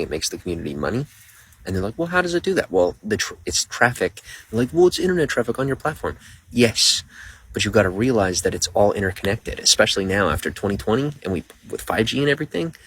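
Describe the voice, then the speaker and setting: A man's voice: deep voice, fairly bright tone, a little hiss or hum, poor audio. A young man streaming live, rambling casually while greeting viewers by name and reading out their comments. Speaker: livestreamer.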